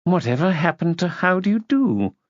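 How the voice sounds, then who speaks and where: A man's voice: high-pitched, sing-song, normal speed, no echo, muffled recording, pitched above the performer's own voice. A man voicing a haughty, imperious upper-class woman in an audiobook, clipped, condescending and cutting. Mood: scornful. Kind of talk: audiobook character voice.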